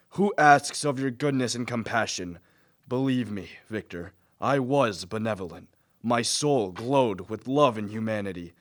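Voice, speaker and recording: A man acting a lonely, spurned man-made monster in a radio play, earnest and deliberate in delivery. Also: fairly low pitch, no echo, studio quality, lightly acted. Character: lonely, spurned man-made monster, pleading, menacing, childlike when newborn.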